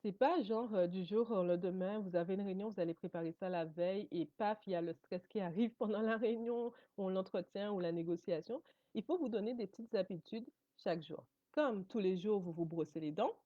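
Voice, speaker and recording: A woman whose first language is English, giving a motivational coaching talk straight to her viewers. She encourages them warmly and keeps checking in with a quick "ok?". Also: mid-range voice, no echo, muffled recording. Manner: encouraging, addresses viewers directly, often checks in with "ok?".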